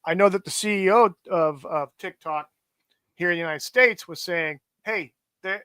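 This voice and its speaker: Profane high-voiced ranting man. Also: moderate pace, pushed and bright, smooth. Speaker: podcast host. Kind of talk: casual political chat.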